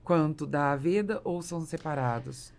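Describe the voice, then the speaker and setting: A woman's voice: sits low, normal speed, gravelly, a little mellow. A Brazilian woman hosting a conversational business podcast, summing up and confirming what she hears. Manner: summarizes and confirms the guest's points. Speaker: podcast host, interviewer.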